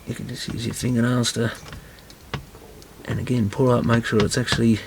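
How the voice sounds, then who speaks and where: A man's voice: medium pitch, little pitch movement, normal speed, vocal fry, background noise. A man giving casual hands-on repair commentary, muttering to himself as he works. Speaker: repair video presenter.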